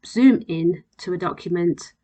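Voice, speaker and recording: A woman presenting an instructional software demonstration as a voice-over, explaining plainly and step by step. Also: fairly low pitch, measured pace. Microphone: voice-over on a screen recording.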